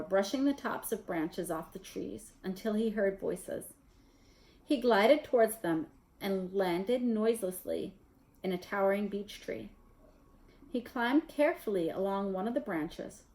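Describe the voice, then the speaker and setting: A woman reading a children's novel aloud as narrator, in an expressive storytelling style. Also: mid-range voice, poor audio. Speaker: story reader.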